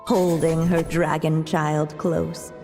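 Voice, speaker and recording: A woman playing a tender, solemn mother witch narrating a storybook tale in a video game, gentle and measured, with long reverb. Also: low-pitched, level tone, soft background music. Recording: long reverberant hall effect.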